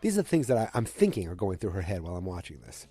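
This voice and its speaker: A man's voice: low-pitched, huge pitch swings, racing, warm tone, husky. A man hosting a solo podcast with a cold, recapping casually in a loose, rambling way with many fillers and restarts. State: has a cold, stuffy. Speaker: podcast host.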